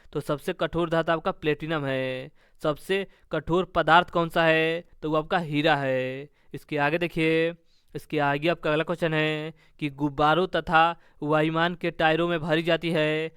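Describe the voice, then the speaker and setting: A man's voice: fairly high pitch, a bit bright, clean tone, no room sound, studio quality. A man teaching an exam-prep lesson, reading out questions and answering each in a repetitive, formulaic way.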